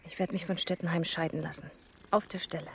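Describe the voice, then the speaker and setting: Resolute, creaky-voiced wife. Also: female voice, low voice, steady tone, fast talker, slight background noise. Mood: determined. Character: resolute wife determined to leave her husband. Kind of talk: radio crime drama acting.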